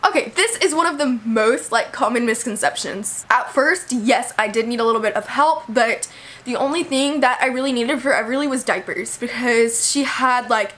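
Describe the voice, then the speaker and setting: A young woman from Texas, talking casually to her camera with lots of "like" and "you know", hoarse from a cold. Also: fairly high pitch, normal speed, fairly bright tone. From Texas, USA. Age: young adult. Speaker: vlogger.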